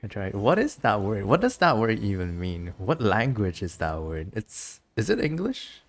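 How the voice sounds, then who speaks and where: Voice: deep voice, big pitch swings, moderate pace. A streamer chatting casually to viewers over gameplay in a giggly, rambling way, reading game text aloud at times.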